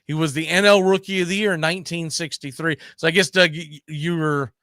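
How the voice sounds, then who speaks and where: A man's voice: a little high, fairly even intonation, a little fast, pushed and bright, no echo, studio quality. A man hosting a sports talk show, telling baseball history with an emphatic, storytelling delivery.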